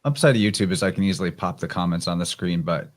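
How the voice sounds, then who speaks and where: A man's voice: sits low, fast. Man casually hosting a livestream. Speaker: livestream host.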